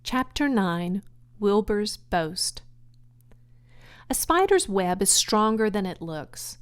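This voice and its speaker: Slow, deliberate woman reading a storybook. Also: mid-range voice, big pitch swings, smooth. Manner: deliberate, carefully phrased. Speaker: children's audiobook reader. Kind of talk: expressive storybook narration.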